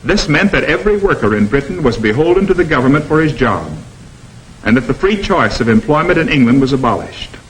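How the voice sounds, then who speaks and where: A man delivers 1950s documentary narration. His pitch rises and falls expressively as he stresses each item in a list, and his delivery is measured and emphatic. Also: very animated, rough recording.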